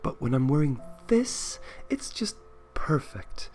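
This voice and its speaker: A man voicing a shy, infatuated young female cartoon pony, soft, hesitant and coy, in a story reading. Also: fairly high pitch, big pitch swings, slow pace, faint music behind, pitched above the performer's own voice. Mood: coy, pleading.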